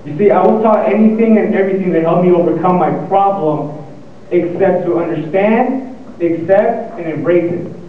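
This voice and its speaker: A young man with a stutter gives a motivational talk, halting and restarting his phrases. His voice sits a touch high and comes from a distance, thin and tinny like a phone line. It rings through a very echoey hall. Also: a little hiss or hum. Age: young adult. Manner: stuttering, pausing and repeating words. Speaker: student speaker. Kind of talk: motivational speech. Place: lecture hall.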